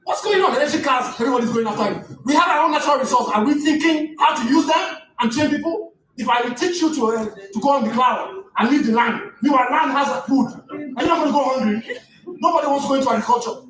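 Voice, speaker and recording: Fast, high-voiced Nigerian man, Yoruba native, giving a fervent lecture from far off the mic in an echoey hall. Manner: impassioned, rising to near shouts, stammering restarts. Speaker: guest lecturer. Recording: echoey room, distant mic, faint noise.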